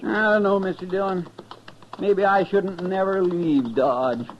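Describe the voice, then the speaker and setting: A man's voice: high-pitched, a little slow. A man playing a folksy, deferential cowboy sidekick in a 1950s radio western, with a drawling American accent. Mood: earnest, complaining. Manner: drawling, folksy, plain-spoken. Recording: dull, noisy 1950s radio recording.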